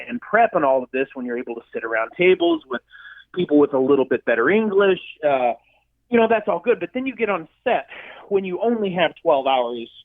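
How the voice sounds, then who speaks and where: A man who directs films, a guest telling stories in a casual interview, animated and swearing freely. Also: fairly high pitch, no room sound. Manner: animated, profane storyteller. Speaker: film director and effects artist, guest. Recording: dry tinny phone-like line.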